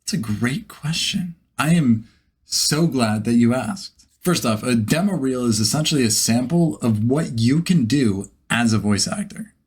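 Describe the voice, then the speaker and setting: A man's voice: medium pitch, expressive, measured pace, a little mellow, slightly rough. A man hosting a vlog in a casual, conversational style. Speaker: video host, beginner voice actor.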